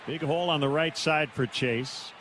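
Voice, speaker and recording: A man with a fairly high voice does live baseball play-by-play from a stadium booth. He delivers it in short, measured phrases, leaving gaps between plays, with clear background noise under the broadcast. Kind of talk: live radio play-by-play commentary. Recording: noisy stadium radio broadcast.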